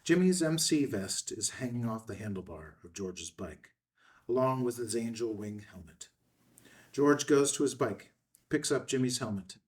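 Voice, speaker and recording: A man's voice: mid-range voice, animated, normal speed. A man reading screen directions as the narrator, in scripted narration. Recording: distant mic.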